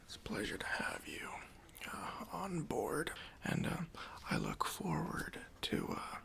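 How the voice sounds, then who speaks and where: A performer voicing a smug, self-important boss in a whispered ASMR job interview roleplay, condescending and awkwardly hesitant, with a breathy, gravelly whisper. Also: medium pitch, pushed and bright, pitched above the performer's own voice, exaggerated voice. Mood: patronizing.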